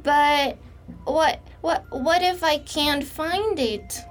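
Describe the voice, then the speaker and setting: Storybook elf boy, anxious and pleading, put on by a woman, high and unhurried. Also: lively intonation, soft background music. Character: small young male elf, anxious and pleading. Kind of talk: storybook reading.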